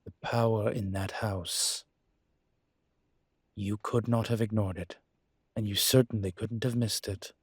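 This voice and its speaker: A man playing a weary, earnest incubus demon in a dramatic audio roleplay, pleading diplomatically in a measured, halting way with dry asides. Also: fairly low pitch, steady tone, husky, dry recording. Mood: persuasive.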